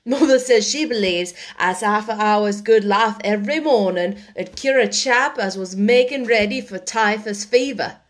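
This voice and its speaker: A woman's voice: medium pitch, moderate pace, few pauses, natural voice. A woman voicing an eager young boy who chuckles and speaks with joyous wonder, slipping into Yorkshire.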